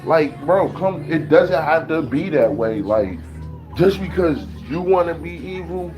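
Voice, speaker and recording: A man's voice: on the high side, lively intonation, a little slow, dull audio, over music. Man ranting loose and slangy. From Ohio. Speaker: beatmaker talking to camera. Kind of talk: casual rant.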